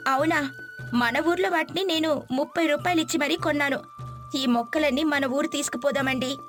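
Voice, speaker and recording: A woman voicing an eager, delighted young city-bred wife in an animated moral story, with music behind her. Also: high-pitched, brisk. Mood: delighted, curious.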